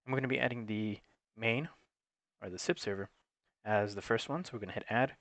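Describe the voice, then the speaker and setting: Low-voiced man walking through a technical tutorial. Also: fairly quick, a bit bright, no echo. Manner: matter-of-fact, instructional. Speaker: technical support presenter. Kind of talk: unscripted step-by-step tutorial narration.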